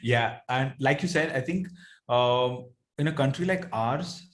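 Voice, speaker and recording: A man's voice: medium pitch, unhurried. A man from Bangalore with a South Indian accent, speaking casually and earnestly as a rapper being interviewed, rambling as he thinks. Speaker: rapper guest.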